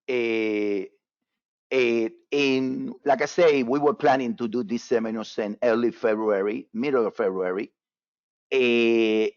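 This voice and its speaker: A man's voice: medium pitch, no room sound. Cuban-born guy running a trading webinar, keeps restarting, dry muffled sound. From Cuba. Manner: casual, repetitive, filler-heavy with many restarts. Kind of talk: live webinar talk.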